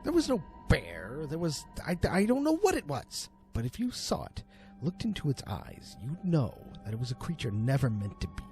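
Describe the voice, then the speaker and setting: A haunted, grieving man acted by a male storyteller in an audio horror tale, his voice raised and strained with bitter fury. He swings sharply in pitch as he shouts and hisses, with loud music behind him. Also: wildly swooping pitch, moderate pace. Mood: haunted, intense. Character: traumatised man, bereaved fiancé, bitter and furious.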